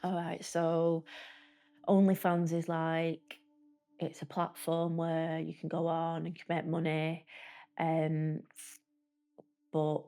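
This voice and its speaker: A woman's voice: low voice, monotone, slow pace, long pauses, dark tone, clear, resonant tone, faint music behind. A woman, speaking nervously and hesitantly in an interview. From Preston. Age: 30s.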